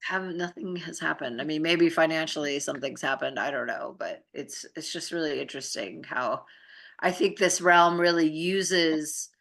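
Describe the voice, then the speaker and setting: A woman speaks in a low register with plenty of gravelly fry and a bright, forward edge. She chats casually and reflectively, rambling through her thoughts in trailing phrases. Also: fairly even intonation, normal speed. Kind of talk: casual conversational chat.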